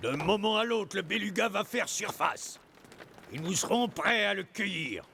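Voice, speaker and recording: Vengeful whaling captain for animation: commanding, theatrical, gravelly, wildly swinging pitch. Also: male voice, lively intonation, moderate pace, a crowd in the background. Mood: obsessed, rallying. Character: vengeful, obsessed whaling ship captain, bossy and menacing. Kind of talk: French cartoon dub acting. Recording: music behind the voice.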